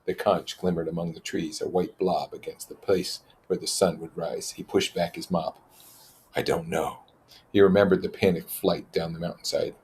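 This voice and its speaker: A man narrating a novel aloud as an expressive reader, with plain explanatory comments. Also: low-pitched, moderate pace, few pauses, a little hiss or hum.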